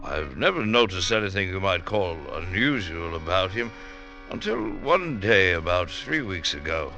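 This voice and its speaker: An actor playing a deferential middle-aged chief clerk, formal, precise and stuffy, in old-time radio drama style. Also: male voice, deep voice, sing-song, normal speed, bright, forceful tone, highs cut off, faint music behind, exaggerated voice. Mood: dutiful.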